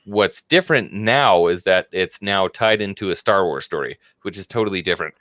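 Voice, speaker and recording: A man's voice: deep voice, normal speed, dry recording, studio quality. A man in his late twenties co-hosting a podcast, chatting casually and acting out quotes as he tells a story. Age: 20s. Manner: animated storyteller, acts out quotes. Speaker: podcast co-host.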